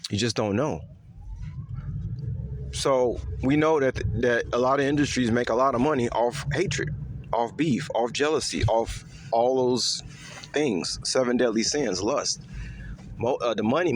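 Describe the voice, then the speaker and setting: A man talking in a casual, rambling monologue to his viewers, repeating himself with lots of fillers and letting his voice swing higher and lower. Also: unhurried, a little hiss or hum. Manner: pitch swings up and down. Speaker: vlogger.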